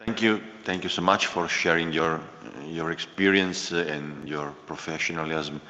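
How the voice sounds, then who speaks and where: A man's voice: low-pitched, fairly even intonation, normal speed, sharp tone. A man moderating a conference, politely introducing speakers in a hesitant way. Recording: long echoey hall reverb.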